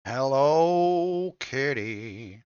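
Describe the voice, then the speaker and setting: Smooth-voiced man joking dryly. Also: medium pitch, lively intonation, extremely slow, a little mellow, no room sound, lo-fi sound. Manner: dry, joking, mock-dramatic asides. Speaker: co-host. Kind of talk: casual absurd chat. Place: home setup, streamed show.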